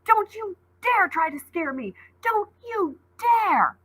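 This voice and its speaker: A woman's voice: very high voice, big pitch swings, slow pace, a bit bright, voice pushed up, heavily acted. A woman voicing a stubborn, frightened sixth-grade girl in a storybook, dogged and snappish. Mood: screaming, enraged.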